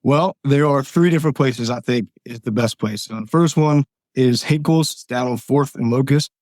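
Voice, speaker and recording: A young man in his twenties from Pennsylvania, a guest talking casually with a hoarse voice and a friendly, upbeat manner. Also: medium pitch, moderate pace, darkish tone, no room sound, studio quality. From Columbia, Pennsylvania. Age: 20s. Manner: upbeat, friendly, filler-heavy. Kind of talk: casual interview chat.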